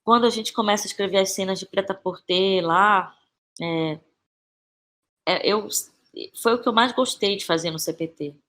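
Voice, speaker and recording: A Brazilian woman speaks as a guest in an interview, in a middle-pitched voice. Her talk is informal and reflective, and she often checks in with small tag questions. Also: moderate pace, a bit bright. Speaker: podcast guest, actress and playwright. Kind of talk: conversational interview answers.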